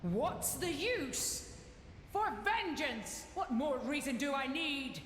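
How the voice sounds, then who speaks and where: A woman's voice: sits high, big pitch swings, slow, fairly bright tone, heavily acted. A woman playing a stern, bitter military officer in a video game, accusing and bent on vengeance. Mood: vengeful fury. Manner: cold accusation swelling into fury. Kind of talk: video game cutscene voice acting. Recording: echoey hall reverb, faint noise.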